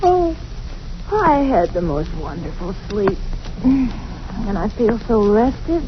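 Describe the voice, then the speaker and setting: An actress playing an anxious young wife in 1940s radio drama style, breathless and emotional. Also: female voice, medium pitch, lively intonation, extremely slow, long pauses. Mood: tender, worried. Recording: dull old radio broadcast with faint hiss.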